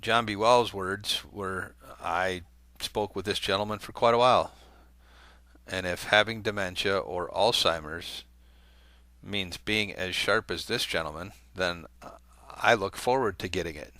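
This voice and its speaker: Male channel host, low-ish voice with a forceful edge, reads a letter aloud conversationally, stumbling now and then, dry studio sound. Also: unhurried, dry recording. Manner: conversational, with hesitant fillers and self-corrections. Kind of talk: reading a letter aloud to viewers.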